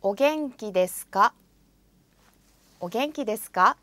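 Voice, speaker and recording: A woman's voice: medium pitch, lively intonation, fairly bright tone, no echo. A woman in a studio speaking example phrases for a language course, saying each one carefully and then repeating it. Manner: careful, deliberate pronunciation.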